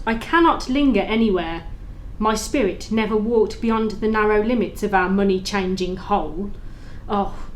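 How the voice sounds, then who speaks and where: A woman's voice: fairly high pitch, a little hiss or hum, natural voice, low-quality recording. A woman playing the mournful, tormented ghost of a dead business partner, speaking solemnly in an audio play with wailing laments. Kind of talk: library audio play acting.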